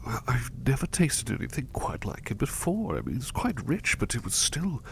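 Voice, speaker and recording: A man voicing a sickly young male butler, speaking in a soft, whispery, courteous way close to the mic while resting in bed unwell. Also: mid-range voice, huge pitch swings, normal speed, gravelly, slight background noise. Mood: gentle, grateful. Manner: courteous and formal, hesitant restarts. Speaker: voice actor. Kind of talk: ASMR roleplay.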